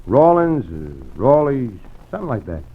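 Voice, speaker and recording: A man's voice: mid-range voice, sing-song, moderate pace. A man acting a small-time gangster in an old-time radio crime drama, a wisecracking ladies' man with wry, street-slang talk. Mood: excited, cocky. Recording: dull, noisy vintage radio recording.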